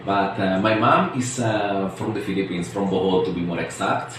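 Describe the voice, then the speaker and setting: Echoey, low-voiced man in his forties. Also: normal speed, fairly bright tone. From Switzerland. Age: 40s. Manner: hesitant, searching for words. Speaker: interviewee, expatriate resident. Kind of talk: informal interview answers. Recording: echoey room, distant mic, faint noise.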